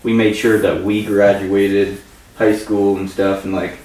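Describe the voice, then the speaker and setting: A man's voice: on the low side, flat delivery, a little slow, a little mellow. A man in his twenties chatting casually and jokingly as an interview guest, with slangy, profane talk. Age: 20s. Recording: roomy, distant mic, faint noise.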